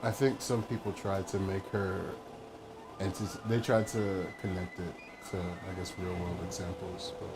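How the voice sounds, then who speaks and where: A man's voice: sits low, little pitch movement, moderate pace, over music. A man giving casual live commentary as a game streamer.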